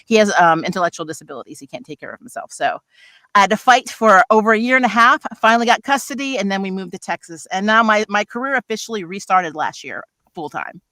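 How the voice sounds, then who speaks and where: A middle-aged woman with a bright, forward voice, recorded close and dry. She talks casually as a voice actor on a livestream panel, candid and informal, poking fun at herself. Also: medium pitch, expressive, fast talker, no echo, poor audio. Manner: candid, self-deprecating humor; informal slangy phrasing.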